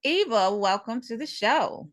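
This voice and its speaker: Clear-spoken woman hosting an interview show. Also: a little high, expressive, unhurried. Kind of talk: introduction and interview questions.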